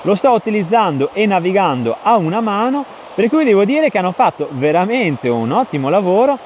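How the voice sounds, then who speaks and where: A man's voice: sits high, sing-song, brisk, highs cut off, a little hiss or hum. A man presenting a product review in an enthusiastic, emphatic way.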